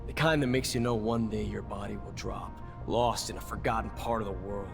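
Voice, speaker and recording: An actor playing a cynical veteran soldier in a video game, gruff and blunt in manner. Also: male voice, on the low side, steady tone, brisk. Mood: grim, resentful. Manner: gruff, sardonic, blunt, swearing. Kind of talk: video game dialogue acting. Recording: music behind the voice.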